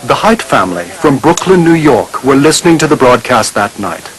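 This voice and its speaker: Lively male documentary narrator. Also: medium pitch, normal speed, poor audio. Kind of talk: scripted narration.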